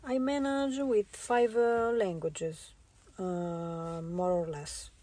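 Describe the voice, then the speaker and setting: A woman with an Italian accent, a visual artist answering interview questions in a halting way with many filler sounds. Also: lowish voice, flat delivery, dark tone. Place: radio studio.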